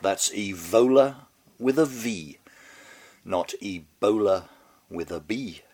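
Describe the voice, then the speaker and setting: An older man reads a scripted commentary while fighting a bad head cold, his voice on the edge of giving out. He talks slowly in a lowish register, with a dry, wry, mannered delivery. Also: lively intonation, a little mellow. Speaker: podcast host.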